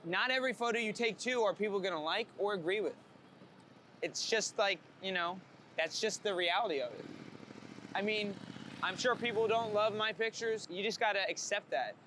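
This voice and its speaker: An energetic, upbeat man chatting casually and candidly as a street photographer out at work. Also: high-pitched, normal speed. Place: outdoors on a city street. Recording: clear urban street noise, traffic. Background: city ambience and vehicles.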